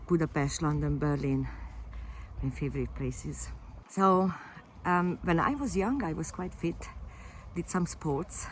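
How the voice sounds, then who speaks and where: Hungarian-born fitness coach, low voice, relaxed unscripted vlog delivery with hesitations. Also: female voice, slight background noise. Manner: unscripted, hesitant with frequent fillers and restarts. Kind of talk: casual personal vlog to camera.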